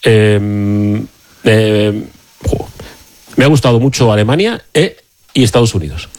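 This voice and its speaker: A man hosting a casual football radio chat, halting and joking. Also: on the low side, a little mellow.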